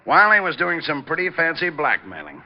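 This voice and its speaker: Gravelly grumpy police lieutenant. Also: male voice, fairly high pitch, very animated, brisk. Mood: weary, businesslike. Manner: grumbling, exasperated. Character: grumpy, weary male police lieutenant, long-suffering straight man. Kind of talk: 1940s radio drama acting. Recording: muffled, noisy old radio recording.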